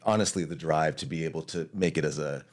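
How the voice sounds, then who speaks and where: A man's voice: rumbling low, fast. A man from Hawaii, an arts leader, talking through his career in a casual interview.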